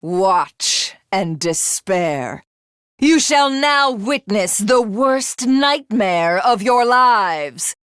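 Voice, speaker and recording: An actress playing a haughty, vengeful alien warlord in a video game, speaking in formal, mocking, theatrical lines. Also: female voice, mid-range voice, lively intonation, drawn-out words, a bit bright, clean tone, dry recording, clean studio sound. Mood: grand, threatening. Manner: imperious, mocking, formal archaic diction. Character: haughty, vengeful alien warlord, a self-styled living god. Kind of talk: theatrical game villain monologue.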